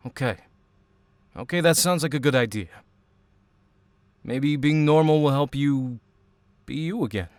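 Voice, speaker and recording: A man's voice: fairly high pitch, a little slow, long pauses, a little mellow, no echo. A man playing a supportive, protective young hero on a team in an audio drama. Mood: gentle, reassuring.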